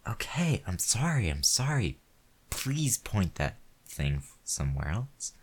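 A man's voice: fairly low pitch, big pitch swings, takes it slowly, slightly rough, heavily acted. An actor in a one-sided audio roleplay, playing a crude, hot-tempered man who curses constantly and turns frightened and pleading. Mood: nervous. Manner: heavy cursing, snarling.

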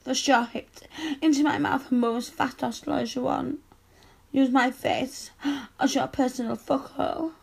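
A very high, slow female voice performed by an actress plays an obedient, pleading adult lover in erotic audio roleplay. She speaks breathily, sliding between moans and begging. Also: a bit bright, full character voice. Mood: submissive, sultry.

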